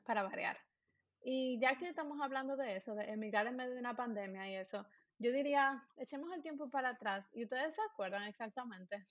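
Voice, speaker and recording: A woman co-hosting a casual podcast, lively and steering the talk with questions. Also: a little high. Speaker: podcast co-host. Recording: dull lo-fi audio.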